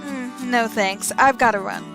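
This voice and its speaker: High-voiced, bitter jealous girlfriend. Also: measured pace, music in the background. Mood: brittle, curt. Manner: curt, insistent. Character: bitter, jealous, hard-drinking girlfriend, threatening. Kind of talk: retro 1940s radio drama acting.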